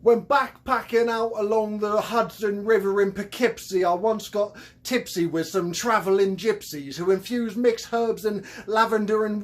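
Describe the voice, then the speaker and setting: A man performs a grubby old Cockney hustler with a thick accent and inflated grandeur. His voice sits high and bright, with a boastful lilt in his patter. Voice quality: pompous, grandiose salesman patter. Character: shabby boastful old male Cockney conman, haughty.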